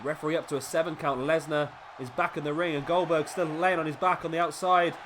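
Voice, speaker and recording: A man, sniffly with a cold, commentating a wrestling video game play by play and getting excited at big moves. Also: a little high, quick pace, background noise.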